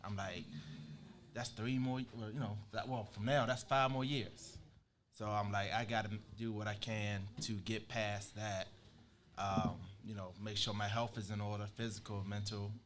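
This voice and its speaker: A reflective man in his late thirties talks calmly on a podcast. His voice is on the low side, with faint room noise behind him. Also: moderate pace. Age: 30s. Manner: thoughtful, reflective. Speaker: podcast guest. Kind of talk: casual chat.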